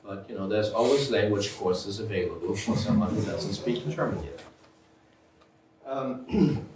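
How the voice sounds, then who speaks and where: A man lectures in a measured, methodical way, pausing to clear his throat now and then. He stands well back from the microphone in a roomy lecture room, with a faint hiss under his voice. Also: fairly low pitch, distant mic. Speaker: lecturing historian. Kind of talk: academic lecture.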